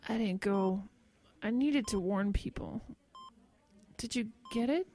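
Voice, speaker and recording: An actress playing a dazed, injured woman in an audio drama, exhausted and drifting, her words halting and faint. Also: medium pitch, warm tone, natural voice. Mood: groggy, confused. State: groggy, exhausted. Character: dazed, injured woman, drowsy and guilt-ridden.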